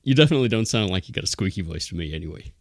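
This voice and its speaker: A man hosting a livestream, chatting in a relaxed, self-deprecating way. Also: sits low, very fast, no echo. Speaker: show host. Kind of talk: casual livestream chat.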